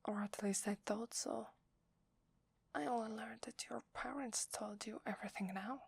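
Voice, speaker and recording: A woman's voice: fairly high pitch, normal speed, no echo, exaggerated voice. Woman acting a whispery Italian-accented werewolf wife. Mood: apologetic, remorseful. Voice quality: breathy, whispery. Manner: tender, hesitant, intimate. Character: loving, playful, remorseful werewolf wife. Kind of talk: ASMR roleplay, soft whispered intimate acting.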